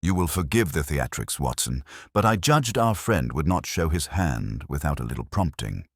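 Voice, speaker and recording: Man voicing very deep, theatrical Victorian detective. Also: big pitch swings, moderate pace, vocal fry, breathy edge, dry recording, studio quality, full character voice. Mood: commanding, triumphant. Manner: theatrical, precise, declamatory. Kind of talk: audiobook detective story dialogue.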